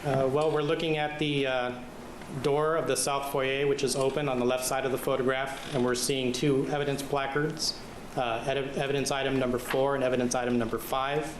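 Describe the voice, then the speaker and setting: A man testifying in court as a crime scene witness, hesitant and halting with many fillers. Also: medium pitch, level tone. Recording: echoey courtroom audio with background hum.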